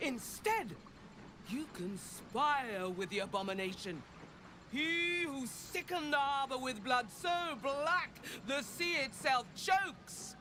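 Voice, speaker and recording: An actress playing a stern, zealous sea priestess in a video game, accusing and threatening. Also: female voice, high voice, expressive, slow, noisy background. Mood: stern threat. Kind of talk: dramatic video game acting.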